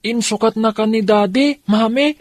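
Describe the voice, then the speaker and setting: A man's voice: high voice, normal speed, natural voice. A male performer playing a worried young child pleading with quarrelling parents in a radio drama. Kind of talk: radio drama acting in dialogue. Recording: faint background noise and ambience.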